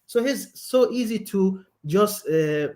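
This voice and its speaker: Man, caller, broken english, stammering, high voice. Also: lively intonation, darkish tone. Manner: halting broken English, many fillers and restarts. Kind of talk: hesitant debate talk.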